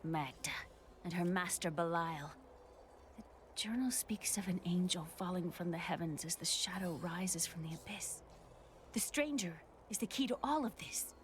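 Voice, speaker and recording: A woman's voice: fairly low pitch, animated, normal speed, a little hiss or hum, lightly acted. A young actress playing a troubled, determined young woman in a video game, with intense, heartfelt delivery. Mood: grave, determined.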